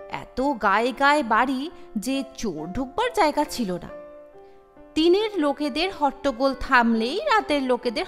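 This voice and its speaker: A woman narrates a written story in a fairly high voice. Her reading is scripted storytelling, carried in a long reverb with music playing behind it. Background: background music.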